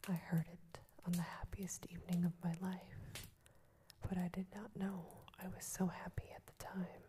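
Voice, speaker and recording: A woman's voice: fairly low pitch, little pitch movement, unhurried, dark tone, close to an everyday voice. A woman whispering as a lonely old fir tree. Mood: reminiscing. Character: lonely wistful fir tree, male, proud of its past. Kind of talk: ASMR storybook reading.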